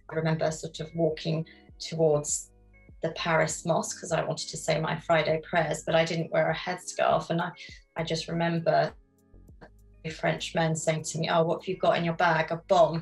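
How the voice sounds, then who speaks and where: A middle-aged woman from London, answering interview questions in a measured, reflective way over a video call from her home studio. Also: low voice, gravelly, rough recording. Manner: reflective, measured, with frequent ums. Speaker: guest artist. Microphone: video call webcam.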